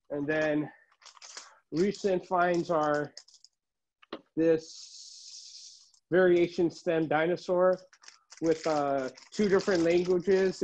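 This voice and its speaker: A middle-aged man giving a casual show-and-tell tour as a collector, listing items haltingly, recorded indoors on a handheld phone. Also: on the high side, a little mellow. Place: room at home, indoors. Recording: dull, muffled phone audio.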